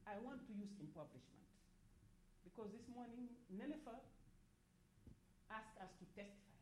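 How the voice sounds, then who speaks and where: Passionate Senegalese woman, halting delivery. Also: medium pitch, expressive, slight background noise, rough recording. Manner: halting, many restarts and repeated words, rising in force on key points. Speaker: activist speaker at a development forum. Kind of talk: impassioned panel speech.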